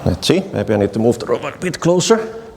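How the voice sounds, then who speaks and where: A man's voice: medium pitch, fast, gravelly. Man demoing live in a big hall. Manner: relaxed, narrating steps while typing. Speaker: conference speaker. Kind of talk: live tech demo talk. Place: large conference hall stage. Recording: reverberant hall, faint noise.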